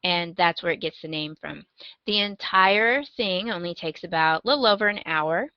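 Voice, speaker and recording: A woman's voice: lowish voice, normal speed, no room sound. Lady podcast host, casual and a bit wry, close dry sound thats slightly dull. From United States. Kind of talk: casual podcast chat, giving a recommendation.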